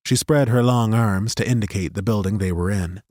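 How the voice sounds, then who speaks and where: A man narrating a fantasy audiobook in a steady, measured storytelling manner on a studio microphone. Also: lowish voice, dry recording.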